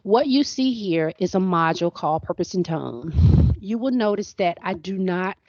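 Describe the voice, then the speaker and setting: Female faculty trainer, hoarse-edged, chatty and repetitive; muffled, dry video call sound. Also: lowish voice, expressive, moderate pace, a little mellow, no room sound. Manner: conversational, repeats words and restarts often. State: voice going hoarse, clearing throat. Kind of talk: screen-share training walkthrough. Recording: dull, dry lo-fi online session audio.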